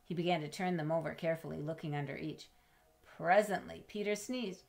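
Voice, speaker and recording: A middle-aged woman reading a children's storybook aloud in an expressive, measured way, as if to young listeners. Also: low-pitched, creaky. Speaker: storybook reader. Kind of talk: children's read-aloud with chatty asides. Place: indoors in a home room with bookshelves.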